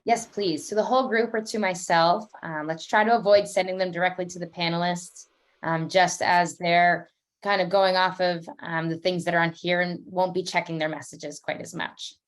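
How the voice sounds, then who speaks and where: Young woman, panel host: upbeat, chatty, slightly low voice, video-call sound. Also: steady tone, normal speed. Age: young adult. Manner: chatty, upbeat, many fillers. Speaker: admissions officer hosting an online panel. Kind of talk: casual online panel hosting.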